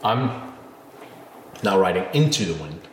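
A man's voice: low voice, sing-song, a little slow, reverberant, a little hiss or hum. A man telling a travel story in casual podcast chat, rambling with fillers and restarts and swearing casually.

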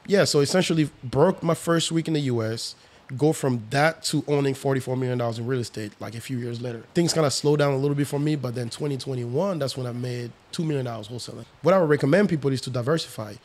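A man in his thirties, originally from the Congo and raised in France, talking as a guest on a podcast in a relaxed, confident way. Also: mid-range voice, fast talker. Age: 30s. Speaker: guest, real estate investor. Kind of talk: casual podcast chat.

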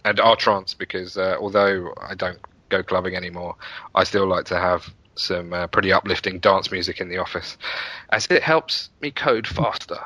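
A man's voice: low-pitched, normal speed, bright, forceful tone, no echo, highs cut off. A British man chatting casually as a podcast guest, talking in a rambling, self-interrupting way. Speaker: podcast guest, web developer.

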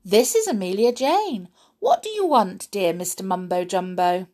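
A woman's voice: medium pitch, lively intonation, moderate pace, dark tone, close to an everyday voice. A woman voicing a sly, bossy girl doll in a children's story, gushing and mock-polite. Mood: feigned astonishment.

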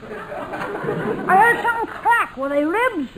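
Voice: very high voice, lively intonation, slow pace, a loud crowd, exaggerated voice. A man playing a brash, wisecracking young boy nephew in 1940s radio comedy. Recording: very noisy old-time radio recording.

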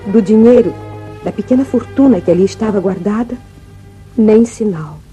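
An actress as a sorrowful young woman in an old Brazilian radio serial. Her voice is mellow and mid-pitched, dramatic and deliberate, with music behind it. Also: natural voice. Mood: sorrowful, solemn. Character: grieving, loyal young ranch woman recounting family tragedy.

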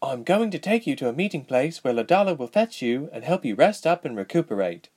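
A petite young princess, acted by a male narrator in a fantasy storybook. She speaks in a raised, swooping pitch. Her manner is earnest and emphatic, with an impatient, exasperated edge. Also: sing-song, fairly quick, a little mellow, breathy edge. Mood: matter-of-fact.

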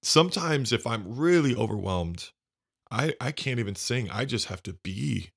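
Deep bass guy hosting a chat, gravelly edge, gushing and rambly. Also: animated, normal speed. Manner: effusive, emotional and rambling. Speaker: podcast host. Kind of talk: casual conversation.

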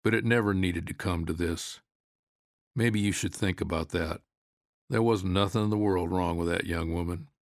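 A big, suspicious country sheriff in a literary story, voiced by a male reader. He speaks low and rough with fry, folksy but blunt and stern. The sound is close and dry. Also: moderate pace, no echo. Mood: stern, accusing.

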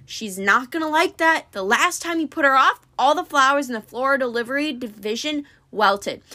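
A woman's voice: sits high, animated, moderate pace, bright, forceful tone. A woman voicing a small female magical snake, chatty, fussy and scolding, in a storybook reading. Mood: eager, chatty. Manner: scolding, eager.

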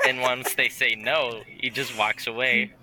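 A young man co-hosting in casual chat, telling anecdotes in an animated, amused way. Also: medium pitch, a little slow, low-quality recording. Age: young adult. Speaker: podcast co-host. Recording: loud noise on headset audio.

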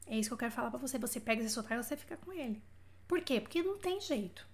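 A woman's voice: fairly high pitch, animated, racing, breathy edge. A woman from Brazil teaching French in a live-streamed lesson, chatty and checking in with viewers with frequent "tá?". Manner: chatty, asks for feedback with frequent "tá?". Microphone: live stream setup.

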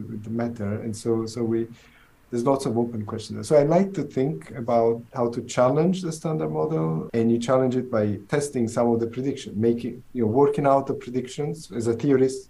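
A man's voice: medium pitch, creaky, mellow, a little hiss or hum, low-quality recording. A middle-aged man from southern Italy with an Italian accent, a theoretical physicist answering interview questions thoughtfully, with many restarts.

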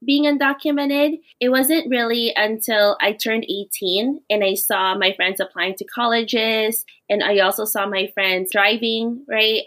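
Bright-voiced young woman from the Philippines. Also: on the high side. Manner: earnest, articulate storytelling. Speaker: guest, teacher and doctoral student. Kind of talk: podcast interview.

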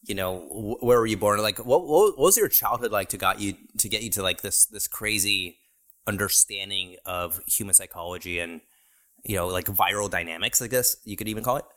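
A man's voice: on the low side, fairly quick, fairly bright tone. Casual, swearing male podcast host. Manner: loose and profane, many fillers and restarts. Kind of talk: casual interview chat.

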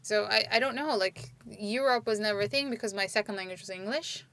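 A woman's voice: mid-range voice, a little fast, vocal fry, fairly bright tone. A woman in her forties, from Turkey, telling her story in a casual conversation, rambling and restarting her sentences. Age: 40s.

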